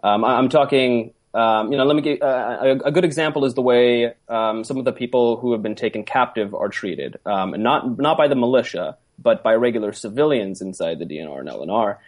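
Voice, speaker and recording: A man speaking as an interview guest, hesitant and reflective, full of pauses and restarts. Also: mid-range voice. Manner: hesitant, many ums and restarts. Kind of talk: conversational interview.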